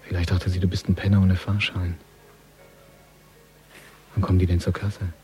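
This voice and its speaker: Deep, sardonic surveillance man, played by an actor. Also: little pitch movement, normal speed, mellow, faint music behind, natural voice. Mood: bored, sardonic. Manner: dry, mocking, muttering to himself. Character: sardonic, bored male surveillance agent tailing a couple. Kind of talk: radio drama inner monologue.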